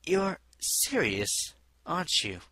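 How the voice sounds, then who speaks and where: A haughty pony lady mayor, acted by a male narrator, pitched up and bright. She is cool, clipped and disdainful, quick to doubt whoever she talks to, in a storybook style with a close, dry sound. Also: very animated, dry recording, exaggerated voice. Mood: skeptical, disdainful. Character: irritated, haughty female pony mayor and cellist, skeptical.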